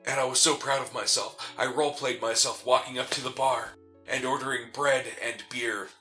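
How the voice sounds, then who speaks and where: A man chatting casually as co-host of a game playthrough, telling stories in an animated way and acting out bits. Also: medium pitch, moderate pace. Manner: animated storytelling, acts out bits. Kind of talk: casual chat. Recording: game music behind the talk. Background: video game music.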